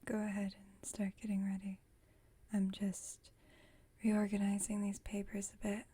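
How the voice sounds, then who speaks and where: A woman playing a calm, dryly witty, centuries-old female vampire roommate in an ASMR roleplay, speaking in a soft breathy whisper with gentle, slightly formal phrasing. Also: mid-range voice, a little slow. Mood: gentle, teasing.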